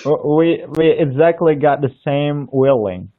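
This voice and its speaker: A man from Indonesia, speaking English with an Indonesian accent in casual chat, halting and restarting often as he searches for words. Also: a little high. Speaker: language learner on a live video call. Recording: tinny phone-like line.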